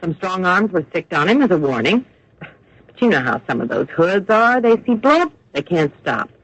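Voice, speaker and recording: An actor playing a brisk police detective in an old radio crime drama, emphatic and matter-of-fact. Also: male voice, sits high, big pitch swings, a bit bright, breathy edge, full character voice. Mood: businesslike. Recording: dull vintage radio recording.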